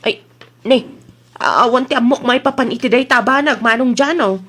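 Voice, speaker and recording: Casting: actress as flustered Ilocano freeloader friend, high-pitched, defensive, comedy radio drama. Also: female voice, moderate pace, fairly bright tone. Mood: flustered, innocent.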